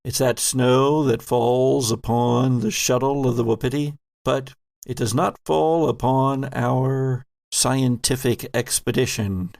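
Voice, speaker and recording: Gravelly guy game master, slow theatrical horror storytelling, dry close sound. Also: mid-range voice, fairly even intonation, a little mellow, no room sound. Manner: theatrical, deliberate phrasing. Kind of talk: dramatic narration for an actual-play horror audio drama.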